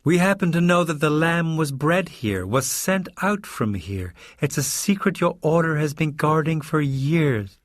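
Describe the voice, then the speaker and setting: A man's voice: fairly high pitch, lively intonation, unhurried, hardly any pauses, dry recording, clean studio sound, voice pushed up. A male narrator voicing a smug, menacing American villain with a pompous, relishing manner in an audiobook.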